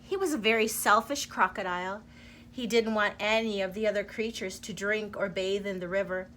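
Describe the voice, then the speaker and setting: A woman reading a children's storybook aloud in an expressive, deliberate storyteller's manner. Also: medium pitch, slight background noise.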